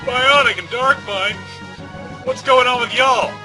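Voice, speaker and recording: A man's voice: extremely high pitch, unhurried, over music, pitched above the performer's own voice. A man voicing a heroic young hedgehog in an audiobook, hot-tempered and devoted, with emphatic, emotional delivery. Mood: excited.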